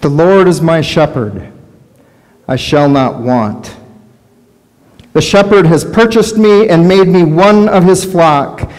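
A man's voice: on the high side, a little mellow. A middle-aged man voicing a skittish, fearful sheep in a first-person sermon monologue, recorded in a large church sanctuary. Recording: long church reverb.

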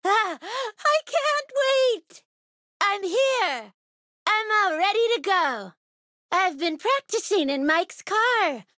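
A woman voicing a bubbly, sweet, eager female monster racer in a video game, with an expressive, gushing delivery. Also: very high-pitched, slow pace, dry recording, clean studio sound, full character voice. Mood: eager, confident. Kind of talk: video game character voice clips.

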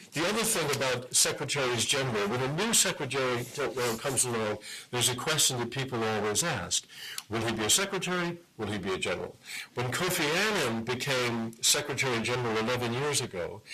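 A middle-aged man lectures to a university audience, unhurried and deliberate, often pausing on "um" before going on. His voice is husky, with a bright, forceful edge. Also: mid-range voice, poor audio. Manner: measured, many "um" pauses. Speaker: guest speaker, journalist.

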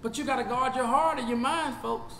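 A man in his fifties with a Southern US accent teaching a Bible study as pastor. He swings from shouting to low talk, recorded in a church sanctuary on a lapel mic. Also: a little fast, reverberant, big-room sound, a little hiss or hum, low-quality recording. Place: church sanctuary, seated before a projection screen.

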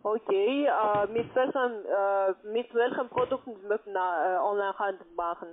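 A man making a scripted sales call in halting German with non-native word order. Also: sits high. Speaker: telephone sales caller. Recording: noisy narrow-band phone line with clicks.

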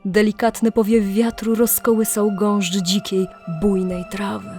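A woman narrating a scripted audio drama legend in a measured, literary storytelling manner, with deliberate pauses. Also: mid-range voice, little pitch movement, darkish tone. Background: music.

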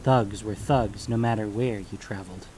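A man's voice: lowish voice, moderate pace, dark tone, a little hiss or hum, low-quality recording. A man reading scripted fantasy fiction as the narrator of an audio story.

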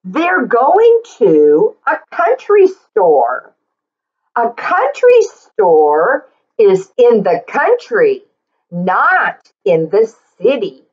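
Very slow, expressive woman reading to children. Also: fairly high pitch, husky, muffled recording. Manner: expressive, deliberate, with drawn-out words and explanatory asides. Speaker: children's story reader and teacher. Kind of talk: read-aloud picture book storytelling for children.

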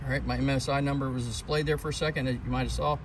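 Man, middle-aged, American accent, medium pitch, casual how-to delivery, plain step-by-step explaining, noticeable background noise. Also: fairly even intonation, very fast. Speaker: presenter of a do-it-yourself boat video. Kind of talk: casual hands-on tutorial. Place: on and around a boat outdoors, and at a workbench.

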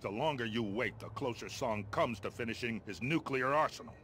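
A man acting as a middle-aged American colonel in a military video game. He is gruff and impatient, giving orders in a medium-pitched voice with blunt authority and a wry edge. Background ambience noise sits behind him. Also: a little fast, fairly bright tone, close to an everyday voice. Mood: businesslike, urgent. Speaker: military officer giving mission briefings. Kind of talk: video game mission briefing dialogue.

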